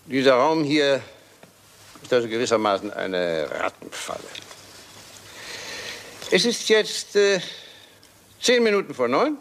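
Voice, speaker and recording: A commanding old aristocrat in a radio mystery. He speaks deliberately, with lively swings of pitch and authority, over faint background noise. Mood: grave, controlled. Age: older. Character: stern, commanding old English lord and inventor. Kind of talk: German radio crime play acting.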